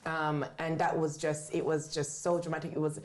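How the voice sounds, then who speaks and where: Low-voiced woman sharing candidly, music behind. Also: level tone, normal speed. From born in the UK, raised in Jamaica. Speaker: interview guest, author and book writing mentor. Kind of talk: conversational personal storytelling.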